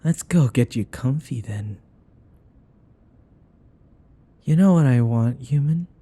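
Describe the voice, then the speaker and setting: A man playing a possessive, teasing vampire in an ASMR roleplay, with a breathy voice that often drops to a whisper and a coaxing, taunting manner. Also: medium pitch, expressive, normal speed, warm tone. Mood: eager, gloating. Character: possessive, teasing male vampire who hypnotizes his captive.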